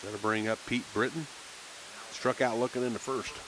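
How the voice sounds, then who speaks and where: A man calling a minor league baseball game on local radio, chatting casually between pitches. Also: normal speed, noisy background. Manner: relaxed folksy chatter between pitches, rising excitement on big plays. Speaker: play-by-play announcer.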